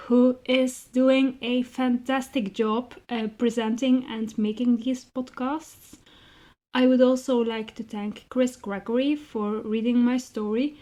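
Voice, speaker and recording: A woman with a soft, mellow and fairly high voice speaks as an interviewed writer. She is reflective and articulate, choosing measured, slightly formal phrasing. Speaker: interviewed writer and zine co-founder.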